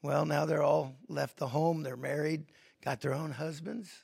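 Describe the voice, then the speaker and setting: A middle-aged male pastor preaching a sermon, moving from quiet reflection to loud, emphatic points. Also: on the high side, fairly even intonation, unhurried, vocal fry, breathy edge, no room sound, clean studio sound.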